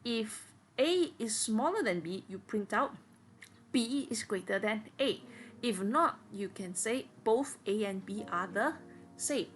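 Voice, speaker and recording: A woman's voice: mid-range voice, lively intonation, slow, slight background noise. A woman teacher patiently walking students step by step through programming exercises in a screen-recorded lesson.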